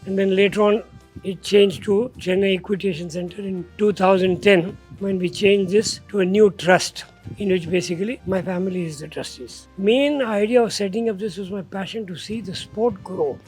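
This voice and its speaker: A man's voice: sits high, normal speed, a little mellow, slightly rough, soft background music, poor audio. An older man, a riding school founder recalling his past steadily in a documentary interview.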